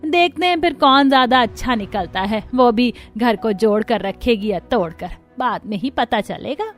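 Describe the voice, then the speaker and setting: A woman's voice: fairly high pitch, animated, normal speed, soft background music, lightly acted. A woman voicing chatty, opinionated women of an Indian household in a cartoon family story. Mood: gossipy.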